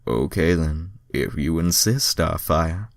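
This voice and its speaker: A man's voice: a bass voice, slow pace, creaky, dry recording, heavily acted. A man voicing a confident, suave male hedgehog hero in a fan fiction reading. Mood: attentive.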